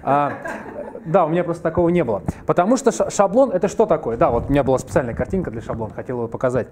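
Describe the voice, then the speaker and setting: Fast-talking man with a slight cold. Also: fairly high pitch. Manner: lively, conversational, jokes with the audience. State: slightly ill, clears throat. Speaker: workshop presenter. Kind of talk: live masterclass lecture. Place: large wood-panelled hall with a screen. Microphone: headset mic.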